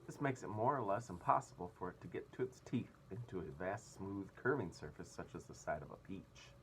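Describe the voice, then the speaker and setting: A man's voice: deep voice, brisk, fairly bright tone, slight background noise. A man reading a children's story aloud as narrator, expressively.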